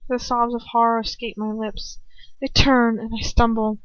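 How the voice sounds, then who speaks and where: A woman reading fan fiction aloud as an amateur, expressive and informal, stumbling over words and correcting herself. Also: fairly high pitch, moderate pace, no echo. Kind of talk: dramatic first-person story narration. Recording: dull, lo-fi home microphone.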